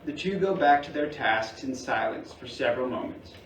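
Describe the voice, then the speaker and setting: Male stage-directions reader, middle pitch, scripted delivery, a little distant in a reverberant room. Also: expressive, moderate pace. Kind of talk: scripted narration at a staged reading. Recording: echoey room, distant mic, faint noise.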